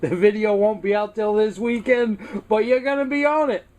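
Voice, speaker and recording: A man's voice: sits high, steady tone, moderate pace, darkish tone. An American man chatting casually and courteously in radio jargon as a hobbyist on CB radio.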